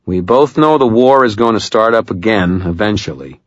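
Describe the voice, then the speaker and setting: A man's voice: on the low side, animated, moderate pace, dry recording, highs cut off, voice pushed up, lightly acted. A man voicing a wry, cocky male investigator with an amiable, sardonic manner.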